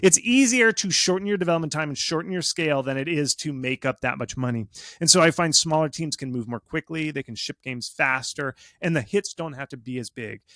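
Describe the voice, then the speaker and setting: A man giving casual, animated explanations as an expert guest in an interview, speaking informally and with emphasis. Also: on the high side, brisk, no room sound, clean studio sound. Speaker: video game marketing expert, interview guest.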